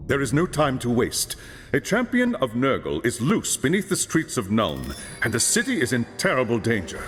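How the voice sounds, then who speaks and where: An actor playing a commanding High Lore Master who speaks with measured authority. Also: male voice, huge pitch swings, fairly bright tone, faint music behind. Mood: grave. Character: commanding older male wizard mentor.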